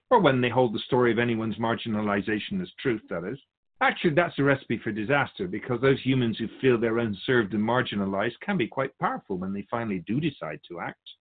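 A man voicing a wise, patient spirit who observes humankind, explaining and questioning in a measured conversational way on a radio show. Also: medium pitch, lightly acted. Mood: instructive. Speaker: guest sage on a radio show. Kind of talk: conversational philosophical teaching. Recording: thin phone-like band.

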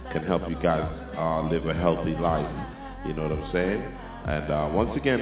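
A man hosting a radio show with a Trinidadian accent, signing off in laid-back slang. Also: sits low. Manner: laid-back slang, drawn-out sign-offs. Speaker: show host. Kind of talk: casual radio sign-off chat. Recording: tinny phone-line audio, echoey, music behind.

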